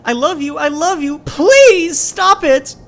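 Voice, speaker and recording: A man voicing a desperate young male furry who pleads in a shrill, hoarse, crying voice. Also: animated, slow pace, fairly bright tone, exaggerated voice. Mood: pleading, hysterical.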